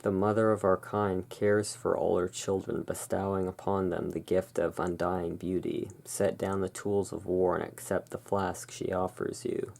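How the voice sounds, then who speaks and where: A person playing the soft-spoken, musing owner of a gothic curiosity emporium in a halting, hushed ASMR roleplay. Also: deep voice, level tone, gravelly. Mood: solemn reading.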